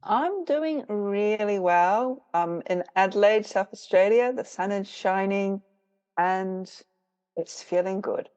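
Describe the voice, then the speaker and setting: A woman's voice: medium pitch, slow, darkish tone, smooth, dull audio. A middle-aged woman with a New Zealand accent, a guest in an interview, telling her story in a reflective, easygoing way with easy laughter. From rural New Zealand. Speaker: guest, witch and author. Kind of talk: conversational interview.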